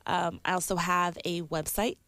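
Congested woman guest, smooth and dry. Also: on the low side, steady tone, normal speed, dry recording. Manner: warm, reflective, many "like" and "um" fillers. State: congested, sniffly. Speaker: podcast guest. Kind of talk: casual podcast chat.

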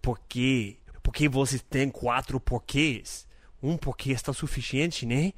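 Young American host, native English, earnest and joking, dry booth-like recording. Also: male voice, mid-range voice, steady tone, slow, no room sound. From North America. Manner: friendly, earnest, joking, stumbles over Portuguese words. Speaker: podcast host. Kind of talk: casual solo podcast monologue.

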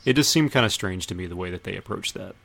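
A man's voice: sits low, lively intonation, quick pace, gravelly, fairly bright tone. A man hosting a casual film discussion with friends, thinking aloud in a tentative way. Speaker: podcast host.

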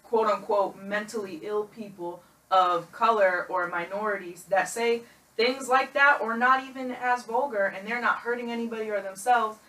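A woman's voice: medium pitch, moderate pace, bright, forceful tone, recorded from a distance. An emphatic young woman argues her points in a casual group podcast. She sits away from the mic, so her voice reaches it with a roomy echo. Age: young adult. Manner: passionate, emphatic. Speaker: guest.

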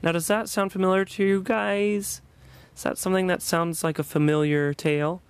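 A man's voice: high voice, steady tone, normal speed, clear, resonant tone. A man hosting a podcast in a conversational presenting style. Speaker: podcast host.

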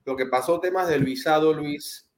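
A man's voice: on the high side, moderate pace, echoey room, slight background noise. A man from Panama hosting a casual boxing chat, talking in a rambling way with frequent restarts. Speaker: boxing podcast host.

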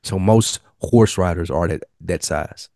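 Very deep-voiced man, dry close sound. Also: unhurried, mellow, no room sound. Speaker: podcast co-host. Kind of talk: casual TV recap chat.

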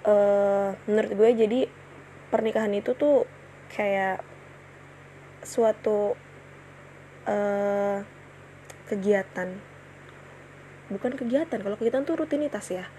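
A woman's voice: medium pitch, warm tone, a little hiss or hum, poor audio. A woman in her twenties hosting her own casual solo podcast, chatting in slang with many fillers and restarts. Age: 20s. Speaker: podcast host.

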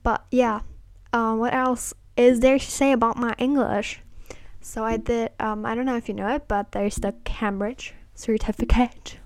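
A woman's voice: on the high side, steady tone, moderate pace, clean tone, no room sound. An eighteen-year-old woman hosting a casual solo podcast on camera. She rambles hesitantly with many "like" fillers and apologizes self-consciously. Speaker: podcast host.

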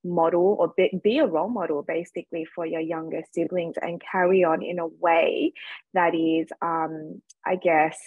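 A woman with an Australian accent talks as a podcast guest, sharing her background in a candid, reflective way. Her voice sits on the low side. The recording is dry, with no room sound. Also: fairly even intonation, moderate pace, breathy edge, dry recording, phone-quality sound. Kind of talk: casual interview conversation.

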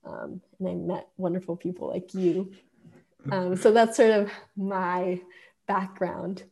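Young adult female interviewee. Relaxed, low-key tone with flat intonation, conversational. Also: slow. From Japan. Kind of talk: casual conversational personal account.

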